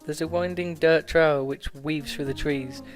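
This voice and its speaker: A man's voice: fairly high pitch, steady tone, unhurried, faint music behind. A man hosting a let's play, reading a visual novel aloud with stumbles, self-corrections and laughs.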